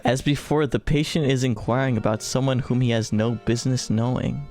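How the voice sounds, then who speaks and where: A man's voice: mid-range voice, fairly quick, a little mellow, faint music behind. A man reading a visual novel aloud and adding casual, sweary commentary as a gamer. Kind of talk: read-aloud narration with casual joking commentary.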